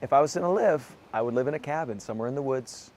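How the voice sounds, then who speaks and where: Man, 30s, hoarse, mid pitch, relaxed casual interview answers. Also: quick pace. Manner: relaxed, laughs often, talks with his hands. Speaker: actor being interviewed.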